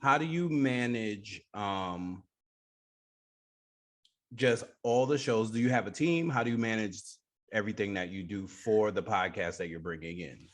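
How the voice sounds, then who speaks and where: A man's voice: lowish voice, unhurried. A man with a New York accent hosting a casual radio interview.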